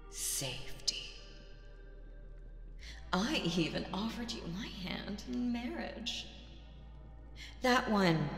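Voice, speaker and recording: A woman playing a seductive, imperious demon queen in an audio roleplay, teasing and condescending, with drawn-out phrasing and breathy asides. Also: low-pitched. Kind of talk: slow roleplay audio drama monologue with whispers. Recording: echoey reverberant hall with music behind.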